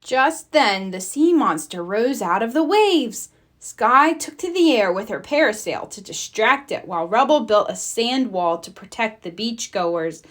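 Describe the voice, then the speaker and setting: A woman narrating a children's storybook in an animated read-aloud style. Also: a little high, a little slow. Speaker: storybook reader.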